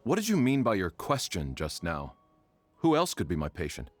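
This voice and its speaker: A man playing a sombre, courteous family doctor in a video game, speaking in a formal, measured, grave way. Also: deep voice, wildly swooping pitch, dry recording, faint music behind, heavily acted. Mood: guarded.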